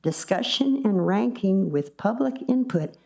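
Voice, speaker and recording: Slow, gravelly-voiced woman commenter. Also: mid-range voice, animated, dark tone. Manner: deliberate and pointed. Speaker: public commenter. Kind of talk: public comment at a meeting.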